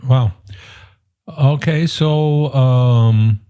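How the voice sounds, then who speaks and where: Mellow-voiced male podcast host, halting. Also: medium pitch, clear, resonant tone. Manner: halting, many fillers, repeats words. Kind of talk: interview podcast.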